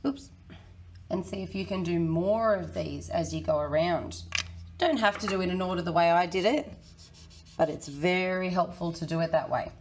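A woman in her thirties with a croaky, hoarse voice, teaching a children's maths lesson in a friendly, encouraging way. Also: fairly low pitch, normal speed, a little hiss or hum. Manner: friendly, encouraging, talks to kids. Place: small indoor room.

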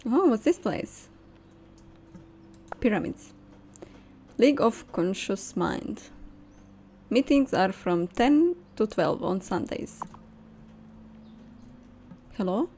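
A woman's voice: medium pitch, big pitch swings, slow, pauses often, dark tone, slight background noise. A young woman musing aloud with delight as she gives casual commentary while playing a game. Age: young adult. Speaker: game streamer. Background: game music.

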